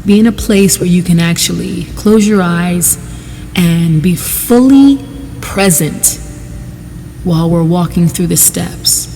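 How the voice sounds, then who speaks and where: A woman giving guided meditation instruction in a measured, deliberate way, pausing between short phrases. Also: on the low side, mellow, in a large hall, slight background noise, poor audio.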